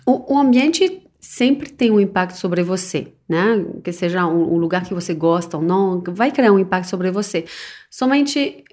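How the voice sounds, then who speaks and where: A woman's voice: lowish voice, animated, normal speed, a little mellow. A woman from the south of France with a French accent, speaking Portuguese as a guest in a casual interview, thoughtful and searching for words. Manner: reflective, thoughtful, with restarts and self-corrections. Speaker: podcast guest, personal development coach. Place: São Paulo.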